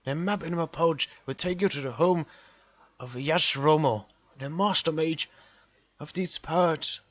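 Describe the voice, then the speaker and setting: A man voicing a dying old dwarf warrior from a fantasy storybook, delirious and imploring in a strained, halting, exclamatory way. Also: a little high, fairly bright tone, no echo. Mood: urgent pleading. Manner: halting, imploring, repeating himself.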